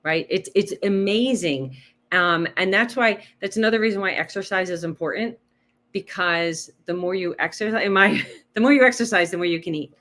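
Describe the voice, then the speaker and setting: Lively woman coaching with quick rhetorical questions. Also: mid-range voice. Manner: animated, explains with numbers and rhetorical questions. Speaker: health coach guest. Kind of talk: casual interview chat.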